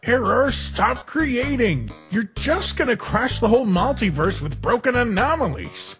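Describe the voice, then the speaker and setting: An exasperated male skeleton played by a dub performer in a cartoon. He scolds fussily and dramatically, his pitch jumping about while the words are drawn out. Music runs underneath. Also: big pitch swings, measured pace. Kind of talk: cartoon comic dub acting. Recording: voice over loud music.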